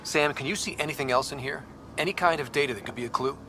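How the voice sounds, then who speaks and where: An actor playing a determined young space explorer in a video game, leading a team with an earnest, commanding manner. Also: male voice, mid-range voice, fast, sharp tone, slight background noise. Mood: resolute. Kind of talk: video game cutscene acting.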